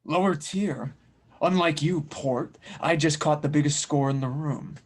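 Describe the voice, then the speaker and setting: Casting: cocky space pirate performed by a man, menacing and loud, higher-pitched with a creaky edge, sci-fi drama. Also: unhurried. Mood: boastful. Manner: swaggering, loud. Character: boastful, menacing male pirate bounty hunter. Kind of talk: fan audio drama table read.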